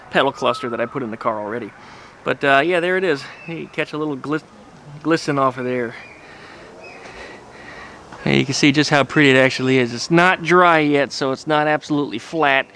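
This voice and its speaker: A man at an everyday middle pitch talks easily while he shows off his engine work outside his garage. His delivery is loose and conversational, full of little fillers. A faint wash of outdoor noise sits behind him. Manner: relaxed, chatty, with frequent fillers. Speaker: garage vlogger restoring a car. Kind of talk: casual workshop vlog.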